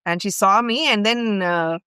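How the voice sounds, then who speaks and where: A woman's voice: medium pitch, measured pace, pushed and bright, clear, resonant tone, dry recording, clean studio sound. A middle-aged woman from India with an Indian English accent, earnestly telling a long personal story as a podcast guest. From India (grew up in Bhopal). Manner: earnest, recounts events in long chains with fillers. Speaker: guest, longtime ashram volunteer.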